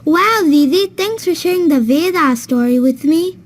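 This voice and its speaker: Woman as little cartoon kid, high voice, curious, eager, wide-eyed, full of questions. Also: lively intonation, moderate pace. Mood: amazed. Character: curious, eager young child, younger sibling, awed. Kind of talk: children's educational cartoon dialogue.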